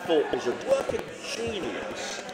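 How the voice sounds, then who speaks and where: Male guest, emphatic film-loving storyteller, lively intonation, echoey room with heavy crowd din. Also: a little high, measured pace. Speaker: podcast guest. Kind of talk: animated casual chat about favourite films. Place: busy pub, indoors.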